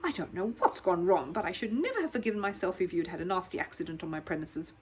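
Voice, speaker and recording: A woman voicing a blustering, pompous but kindly older gentleman factory owner from a children's storybook, booming and exclamatory. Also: expressive, fast, slight background noise, natural voice. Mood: startled bluster.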